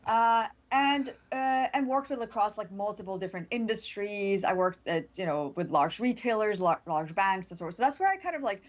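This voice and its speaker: A woman's voice: mid-range voice, expressive, normal speed, dull audio. A woman from Sweden, a product manager speaking as a guest in a casual livestream interview, chatty and upbeat with frequent restarts. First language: Swedish. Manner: chatty, upbeat, laughs often, many restarts.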